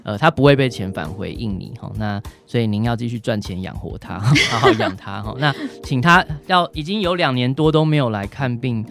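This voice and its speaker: A man with a Taiwanese Mandarin accent answering questions as a doctor on radio, in halting phrases capped with particles. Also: medium pitch, expressive, creaky, a bit bright, soft background music. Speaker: doctor, expert guest.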